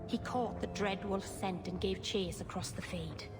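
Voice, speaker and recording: An actress playing an awkward, earnest, bookish young elf mage in a video game. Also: female voice, medium pitch, measured pace, over loud music, close to an everyday voice. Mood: storytelling. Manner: earnest, self-deprecating, socially awkward. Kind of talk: video game dialogue and storytelling. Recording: reverberant room, clear background noise.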